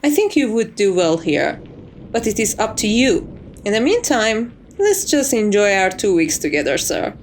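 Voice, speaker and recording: A woman's voice: mid-range voice, big pitch swings, normal speed, slight background noise. A woman playing a composed, courteous vampire's maid in an ASMR roleplay, formal and reassuring with a wry tease. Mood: polite, composed.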